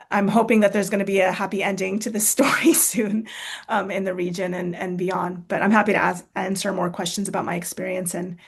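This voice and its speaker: A woman's voice: mid-range voice, creaky. An American-born woman, author and speaker, answering questions in a casual talk, thoughtful, with many fillers, restarts and tag questions. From United States.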